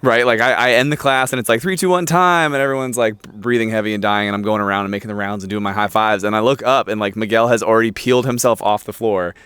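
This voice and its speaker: A man's voice: lowish voice, steady tone, fast talker, hardly any pauses, a bit bright, smooth, dry recording. A man who coaches at a gym, interviewing a member in an upbeat, chatty way. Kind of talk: casual interview.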